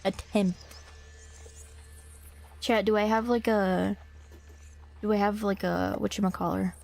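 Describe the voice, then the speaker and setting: A young woman streamer chatting loosely with her viewers, full of slang and restarts, her voice a little hoarse from a cough. She sits at a middling pitch and wanders from thought to thought. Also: fairly even intonation, measured pace, darkish tone. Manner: slangy, rambling, talks to chat. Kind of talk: casual streaming chat, reading a visual novel aloud.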